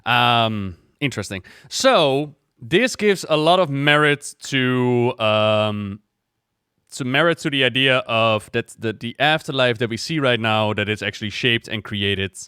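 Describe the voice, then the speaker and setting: A man hosting a gaming reaction video, talking casually and retelling things in an animated way with repeats for emphasis. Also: mid-range voice, measured pace, clear, resonant tone, no echo, studio quality.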